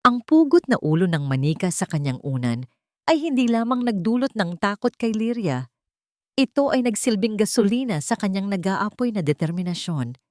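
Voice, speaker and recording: A woman's voice: mid-range voice, very animated, normal speed, a little mellow, no room sound, studio quality. A woman reading a scripted, dramatic story as an audiobook narrator.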